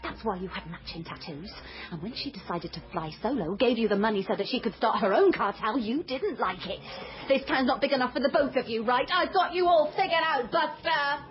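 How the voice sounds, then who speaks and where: A woman's voice: fairly high pitch, lively intonation, fairly quick, lo-fi sound, lightly acted. A British actress playing a brash, nosy young woman who fancies herself a detective in a radio comedy drama, pushy and theatrical. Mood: accusing.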